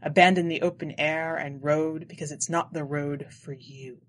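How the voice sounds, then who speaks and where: A woman voicing a terse, dismissive male traveler in a story, speaking deliberately. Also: deep voice, fairly even intonation, a little hiss or hum, voice pushed down, natural voice. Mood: dismissive calm.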